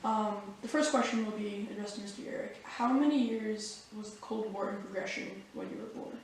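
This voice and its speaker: A woman's voice: medium pitch, fairly even intonation, fairly quick, a little hiss or hum. A young woman reading out prepared interview questions in a polite, formal manner. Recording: echoey room, far from the mic.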